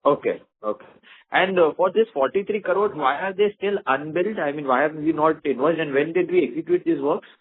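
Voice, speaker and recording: A man's voice: a little high, a little fast, breathy edge. A man with an Indian accent asking questions as an investor on a conference call, heard over a phone line. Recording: tinny narrow phone line.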